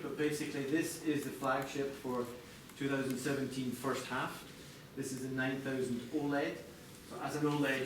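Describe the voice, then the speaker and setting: A man presenting product design live to a group, explaining in a halting way with corporate phrasing. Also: mid-range voice. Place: large echoey indoor venue, apparently a hotel. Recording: echoey noisy room, distant mic.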